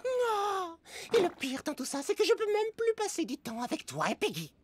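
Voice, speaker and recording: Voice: very high voice, wildly swooping pitch, moderate pace, no echo, full character voice. An actor voicing a nerdy, overwhelmed preteen microbe boy, earnest and plaintive, in a French cartoon dub. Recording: music behind the voice.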